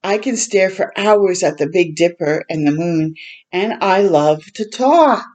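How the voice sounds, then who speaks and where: A woman hosting a storytime for children, reading a picture book aloud in a gentle way and pausing to chat and explain simply. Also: on the low side, expressive. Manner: gentle, simple explaining to young listeners, with pauses to comment.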